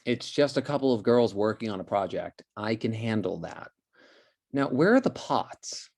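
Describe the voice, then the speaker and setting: Man playing a slacker young guy, animated and defensive. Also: fairly low pitch, normal speed. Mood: breezy confidence. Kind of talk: amateur table read acting. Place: remote video call table read. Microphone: video call.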